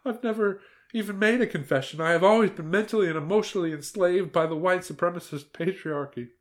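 Man plays a fired-up aunt, pitched high, defiant and emphatic. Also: quick pace, lightly acted. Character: weepy middle-aged aunt, emotional and defiant.

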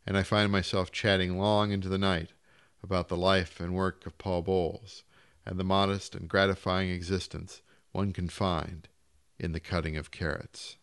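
A man's voice: low voice, little pitch movement, moderate pace, clear, resonant tone. A man reading a first-person literary short story aloud as scripted narration.

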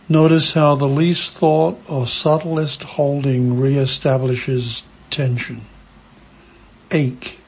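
A man with a very husky, breath-laden voice guides a meditation. He speaks very slowly and gently, in short hushed phrases. Long pauses separate each phrase from the next. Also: mid-range voice.

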